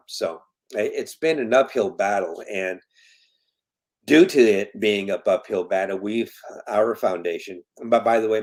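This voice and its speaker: A man's voice: low voice, darkish tone. A man in his fifties from Colombia, talking as an interview guest and foundation president in earnest, emphatic tones, repeating his key points. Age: 50s. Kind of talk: casual interview talk.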